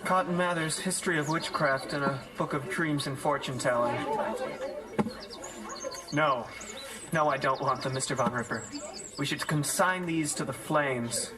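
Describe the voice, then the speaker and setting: A man's voice: on the high side, a little slow, pauses often, a loud crowd, lightly acted. An actor playing an earnest, worried young village man in a theatrical audio drama, speaking with urgency. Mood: somber. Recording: distant from mic, loud music behind.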